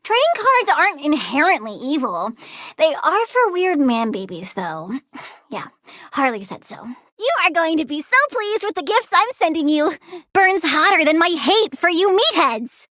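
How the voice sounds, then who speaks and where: High, girlish plant villainess, wildly animated. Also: moderate pace, no room sound, studio quality. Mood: dismissive, then spiteful. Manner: childlike, chirpy, sardonic, theatrical. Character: girlish young plant-controlling villainess, perky, morbidly cute, disdainful of humans. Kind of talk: video game character dialogue.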